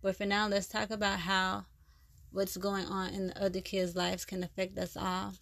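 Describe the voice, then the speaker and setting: A woman's voice: medium pitch, completely flat delivery, moderate pace, vocal fry, fairly bright tone, dry recording. A woman reading the lines of a tired male history teacher leading a class discussion. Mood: lecturing. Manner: lecturing, a little tired.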